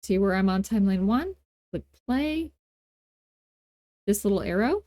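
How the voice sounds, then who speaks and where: A woman hosting a casual, unscripted software tutorial, talking in a playful, folksy way with informal grammar and self-deprecating asides. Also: medium pitch, animated, unhurried, dry recording, clean studio sound. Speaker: tutorial host.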